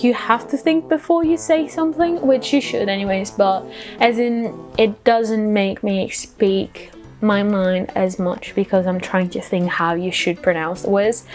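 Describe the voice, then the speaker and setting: A woman with a foreign accent giving a casual explainer vlog, chatty and giggly in a self-deprecating way. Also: a little high. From outside the UK. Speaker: vlogger.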